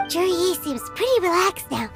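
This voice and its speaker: A tiny, chatty fairy companion chirps in a squeaky, very high voice that leaps all over the place. Loud game music plays behind her. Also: sing-song, moderate pace, exaggerated voice. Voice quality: squeaky, bright. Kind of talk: game dialogue.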